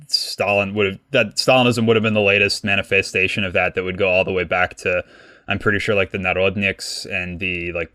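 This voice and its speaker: A man's voice: low voice, a bit bright, dry recording, studio quality. A man co-hosting a podcast, explaining history casually with many fillers and restarts. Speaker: podcast co-host, guest expert.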